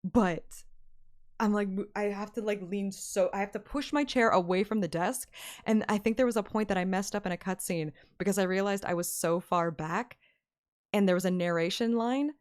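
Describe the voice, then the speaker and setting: A woman's voice: medium pitch, normal speed. A woman streamer chatting casually, with a sweary, dryly complaining manner. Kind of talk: casual livestream chat.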